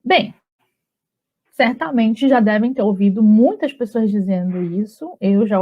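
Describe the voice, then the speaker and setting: A woman's voice: medium pitch, measured pace. Chatty Brazilian woman teaching grammar. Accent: Brazilian Portuguese. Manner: relaxed and chatty, with frequent tag questions. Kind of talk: conversational online grammar lesson. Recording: slightly dull lo-fi audio.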